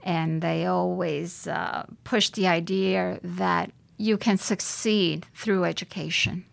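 Older woman judge, gravelly and hesitant. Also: lowish voice, fairly even intonation, slow, dry recording. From Puerto Rico. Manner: reflective, many hesitations and restarts. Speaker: judge, interview guest. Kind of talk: podcast interview.

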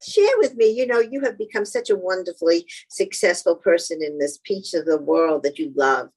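A woman's voice: on the low side, animated, normal speed, a little mellow, husky, low-quality recording. A middle-aged woman hosting a podcast, asking direct questions in a playful way. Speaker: podcast host. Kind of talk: interview questions.

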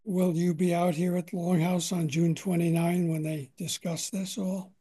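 A man interviewing a guest, asking questions haltingly and often repeating words. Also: high voice, level tone, mellow, husky.

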